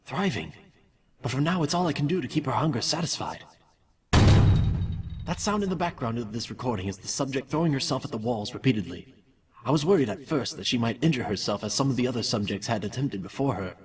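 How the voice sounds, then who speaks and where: A man's voice: medium pitch, far from the mic, natural voice. A man playing an obsessive villainous candy maker in an audio drama, dictating experiment notes with clinical, theatrical self-satisfaction.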